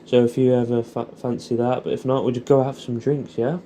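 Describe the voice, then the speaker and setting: An English man talks casually, as if to an old mate, in a mellow, middle-pitched voice. He rambles and swears freely while reminiscing. There is faint noise behind him. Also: little pitch movement, a little fast. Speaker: audio storyteller addressing an old friend. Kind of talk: casual intimate monologue, reminiscing.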